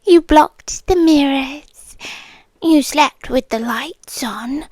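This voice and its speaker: A woman's voice: high voice, a little mellow, dry recording. A British woman acting out a possessive, ancient supernatural girlfriend in an ASMR roleplay, teasing and smug, with intimate, menacing delivery that often drops toward a whisper. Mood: mocking, possessive. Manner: slow, intimate, taunting, often dropping to a whisper.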